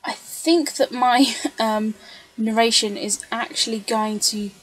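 Chatty woman with a higher-pitched voice. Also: slow pace, husky, dry recording, poor audio. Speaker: scrapbooker narrating craft video. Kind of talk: casual craft tutorial voice-over.

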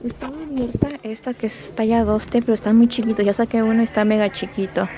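A woman's voice: on the high side, steady tone, fast, dark tone. A young woman chatting casually to her viewers and her children while she shops and films herself on a handheld camera inside a large store. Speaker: vlogger. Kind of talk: casual vlog chat while shopping. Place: large retail store, indoors. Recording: noisy store background.

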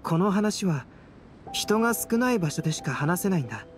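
Composed, thoughtful young scholar. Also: male voice, high voice, sing-song, normal speed. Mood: pensive. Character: calm, cerebral young male scholar. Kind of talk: Japanese anime game voice acting. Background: background game music.

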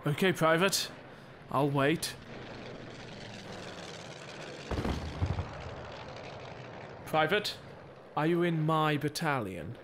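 Man acting weary WWI officer, fatherly, measured, slow delivery, audio drama, faint artillery behind. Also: on the high side, expressive, a bit bright. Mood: steady, concerned. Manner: measured, reassuring, formal. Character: war-weary, fearful but fatherly army captain. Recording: faint battlefield noise behind. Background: artillery fire and battlefield ambience.

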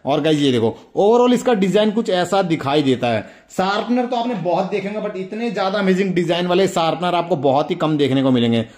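A man with a high voice hosts a casual show-and-tell review. He speaks directly to his viewers, presenting each item and repeatedly tagging his sentences with small check-ins. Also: animated, fairly quick, hardly any pauses, darkish tone. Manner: repetitive check-in tags, addressing viewers directly. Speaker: toy review host. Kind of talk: casual hands-on toy showcase.

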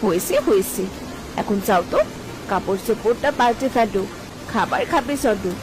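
A woman's voice: mid-range voice, moderate pace, noisy background, close to an everyday voice. A woman voicing a bossy but concerned village wife and mother in a cartoon, with an exclamatory way of talking. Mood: scolding. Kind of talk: Bengali cartoon voice acting.

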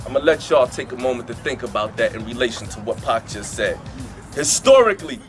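A man speaking and reading emphatically to a gathering outdoors, in the declamatory manner of a preacher. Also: fairly high pitch. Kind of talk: impassioned public address and declamatory reading. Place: outdoors in a park by a statue. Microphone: camera a few metres away.

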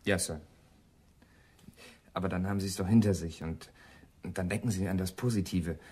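An actor playing a dutiful, sensible junior police detective in a radio crime drama, deferential and matter-of-fact. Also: male voice, low voice, normal speed, warm tone, slightly rough. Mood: concerned, reasonable.

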